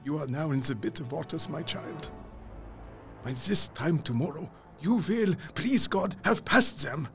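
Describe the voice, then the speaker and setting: Older male professor and vampire hunter: solemn, fatherly, high-ish creaky voice with wide swings, gothic drama. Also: expressive, unhurried, over music. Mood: grave, consoling.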